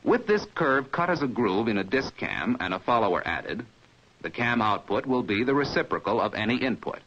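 An American man reading a scripted 1950s training film narration in a measured, precise, didactic manner, as heard on an old film soundtrack. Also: medium pitch, sing-song, fairly bright tone, dull audio, slight background noise.

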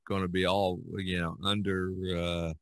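An American man hosting a casual podcast chat, speaking in halting, hesitant phrases. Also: deep voice, little pitch movement, no echo, clean studio sound. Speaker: podcast host.

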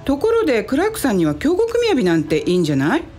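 A woman's voice: on the high side, huge pitch swings, rapid-fire, mellow, faint music behind. A woman playing a curious, worldly female company president in a radio drama, composed and inquisitive.

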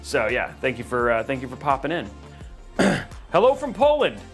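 A man's voice: mid-range voice, wildly swooping pitch, moderate pace, soft background music. A man from Texas hosting a casual livestream, reading chat and joking with viewers, rambling and restarting his sentences.

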